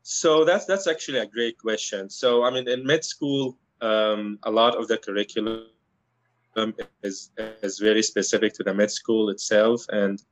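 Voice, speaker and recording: A man from Syria whose first language is Arabic, speaking in a fairly low voice. He answers carefully, with many fillers and restarts as he thinks. The sound is dry and a little dull, lo-fi. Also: no room sound. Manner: measured, thoughtful, many ums and restarts. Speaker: guest, internal medicine resident. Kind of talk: interview answers.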